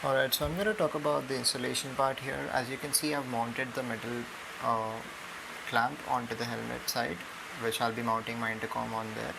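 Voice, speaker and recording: A young Indian-accented man talks through a product in a casual, hesitant way, with lots of ums. His delivery is very even, with a creaky, fried edge to the voice. The recording is homemade, with clear steady hiss behind him. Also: mid-range voice, brisk. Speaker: product reviewer. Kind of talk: casual unboxing and tutorial narration. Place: indoors on a room floor.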